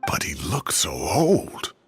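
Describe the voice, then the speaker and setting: A rueful older scholar from a video game, low yet forceful, swinging widely in pitch. He sounds worried and emphatic, with faint music underneath. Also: huge pitch swings, slow pace, full character voice. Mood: shocked, regretful. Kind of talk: video game character dialogue.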